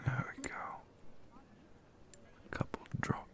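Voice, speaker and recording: A man playing a tender, doting fantasy lover in an intimate ASMR roleplay, soothing and gently teasing in a soft whisper. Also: takes it slowly, natural voice. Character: tender, doting male fantasy lover caring for his partner.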